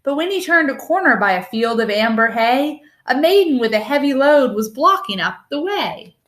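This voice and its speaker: A woman reading a rhyming picture book aloud in an expressive storytelling style. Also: high-pitched, moderate pace. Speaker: storybook reader.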